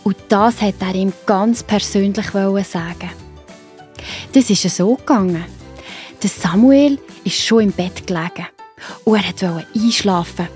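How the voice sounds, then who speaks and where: A woman with a Swiss accent narrating a story for children in a simple, expressive way. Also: medium pitch, unhurried, faint music behind.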